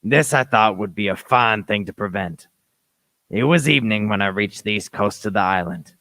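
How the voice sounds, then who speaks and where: A man's voice: on the low side, creaky, bright, forceful tone, dry recording, full character voice. A man narrating an adventure radio play in scripted, first-person storytelling.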